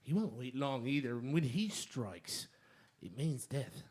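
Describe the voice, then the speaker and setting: A man playing a menacing, blustering villain in a radio drama, threatening and emphatic, with a rough voice. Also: mid-range voice, animated, a little slow, darkish tone. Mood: grim, confident.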